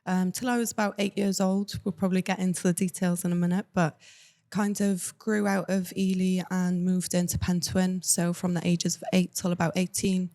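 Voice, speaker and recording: A woman's voice: on the low side, level tone, normal speed, vocal fry, dark tone. A young woman from Cardiff with a Welsh accent, telling her life story to an interviewer in a candid, matter-of-fact way. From Cardiff, Wales. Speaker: guest.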